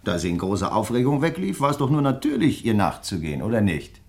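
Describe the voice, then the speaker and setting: Probing, brooding man, lively intonation. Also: on the low side. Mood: insistent, suspicious. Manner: interrogating, pointed, deliberate. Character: probing, suspicious man crime writer, brooding and insistent. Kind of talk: German radio drama dialogue.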